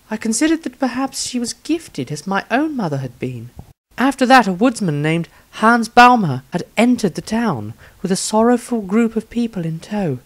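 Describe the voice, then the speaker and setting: Man voicing a gentle, high-pitched woman diarist. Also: heavily acted. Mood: thoughtful.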